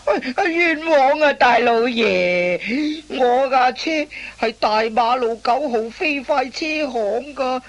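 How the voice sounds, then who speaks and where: A woman playing a sly old thief, slow drawl. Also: high voice, poor audio. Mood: flustered, wheedling. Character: sly, boastful old veteran thief mentoring an apprentice. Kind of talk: radio comedy drama acting.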